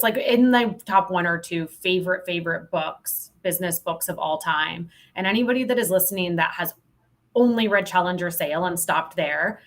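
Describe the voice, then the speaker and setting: Lower-pitched woman, emphatic and candid. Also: a bit bright. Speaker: podcast guest, sales professional. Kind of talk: casual interview chat.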